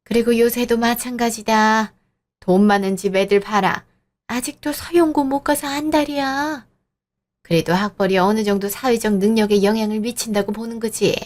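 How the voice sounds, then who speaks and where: Audio drama role: woman voicing a haughty professor mother-in-law in her sixties, lecturing, raised pitch. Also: fairly even intonation, moderate pace, a little mellow, natural voice. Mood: condescending. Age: 60s. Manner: sneering, lecturing, condescending.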